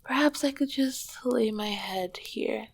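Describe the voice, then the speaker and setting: Woman playing a breathy, whispering, regal succubus queen. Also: a little high, slow pace, no room sound, full character voice. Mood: teasing. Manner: regal, old-fashioned, affectionate phrasing. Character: ancient succubus queen of Hell, tender, regal, flirtatious lover. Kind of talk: whispered ASMR roleplay.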